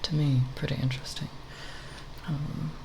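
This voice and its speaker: Soft asmr voice, super creaky n breathy, slow hesitant rambling, close mic w loud hiss. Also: mid-range voice, flat delivery. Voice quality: soft, breathy, partly whispered. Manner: hesitant, halting, with long pauses. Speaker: ASMR video creator. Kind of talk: ASMR, unscripted nerdy ramble.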